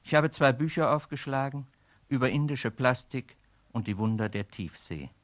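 An actor speaking a weary man's poetic inner monologue in a radio play, slow and brooding. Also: medium pitch, close to an everyday voice. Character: weary, brooding man musing on decay.

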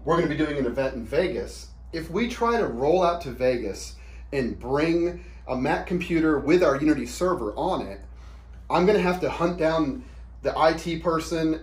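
A man in his thirties giving an informal whiteboard explainer in a casual, plain-spoken, conversational way. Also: on the high side. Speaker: product demonstrator. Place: small indoor office room. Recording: roomy, echoey quick camera shoot.

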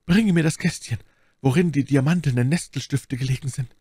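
Wounded English duke for a dramatic audiobook, played by a man: impassioned, imperious, strained, voice lifted high. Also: brisk, dark tone, slightly rough, no echo, clean studio sound, lightly acted. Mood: solemn, urgent. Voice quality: faint, strained voice. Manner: exclamatory, pleading, impatient. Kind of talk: dramatic audiobook character reading.

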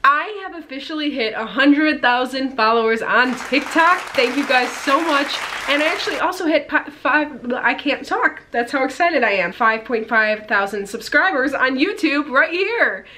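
A young woman in her twenties, an actor talking to camera at home, nasal from a cold and excitable, with lots of fillers and restarts. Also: high-pitched, normal speed, few pauses, background noise. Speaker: actor and YouTuber. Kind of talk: casual vlog advice to camera. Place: indoor room at home. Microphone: camera in front at head-and-shoulders framing.

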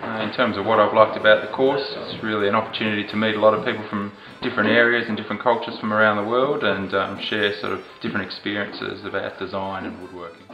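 A man rattles off his introduction quickly. His voice sits low. The room echoes and sounds slightly dull. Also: little pitch movement, music in the background. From Melbourne, Australia. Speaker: furniture student. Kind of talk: to-camera introduction.

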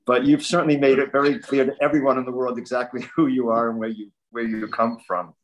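A man's voice: medium pitch, level tone, fast talker, low-quality recording. An older man interviewing an author on a video call, talking conversationally in long, admiring, rambling questions. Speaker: interviewer, event host. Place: book-lined home library, indoors. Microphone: video call computer mic.

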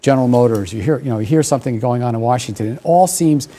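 A man in his sixties whose first language was German, talking in a studio interview, halting and thoughtful with many fillers and restarts, on a lapel mic. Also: mid-range voice, dark tone. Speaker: author and guest. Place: dark television studio.

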